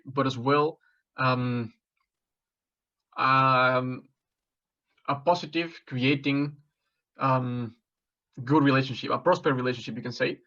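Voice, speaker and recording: Mexican-born man, 20s, job applicant: halting, filler-heavy answers, monotone, slightly muffled audio. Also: medium pitch. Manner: hesitant, many fillers and restarts. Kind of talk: mock job interview answers.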